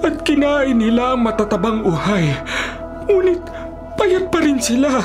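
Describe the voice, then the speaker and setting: An actor playing a troubled, commanding Pharaoh of ancient Egypt in a theatrical, imperious radio drama style. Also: male voice, very high voice, lively intonation, a little slow. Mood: horrified, agitated. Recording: radio drama over clear background music.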